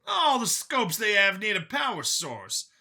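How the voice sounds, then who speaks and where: Man acting a brash, high-pitched hunter medic. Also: huge pitch swings, a little slow, pushed and bright, exaggerated voice. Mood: insistent. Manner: combative, folksy, exclamatory. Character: brash, cocky older human medic and hunter, male. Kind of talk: acted audiobook dialogue.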